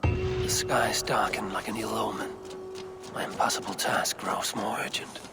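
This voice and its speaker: An actor playing a stern, commanding old Norse god in a video game, speaking solemnly and formally. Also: male voice, fairly low pitch, steady tone, a little slow, vocal fry, loud music behind the voice. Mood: brooding. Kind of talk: video game cutscene acting.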